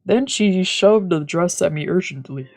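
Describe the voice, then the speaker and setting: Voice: mid-range voice, animated, a little slow, darkish tone. A streamer reading visual novel narration aloud, with casual asides, while music plays behind. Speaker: streamer, let's player.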